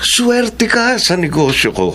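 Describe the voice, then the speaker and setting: A coaxing, lovestruck boss. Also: male voice, high voice, sing-song, takes it slowly, noisy background, full character voice. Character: smooth-talking male boss, generous and lovestruck. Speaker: company boss. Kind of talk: classic radio drama acting.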